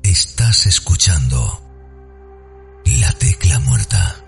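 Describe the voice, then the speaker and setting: Man, dramatic radio ident, gravelly, swooping delivery, portentous, prominent music bed. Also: extremely low pitch, big pitch swings, slow pace. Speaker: station ident announcer.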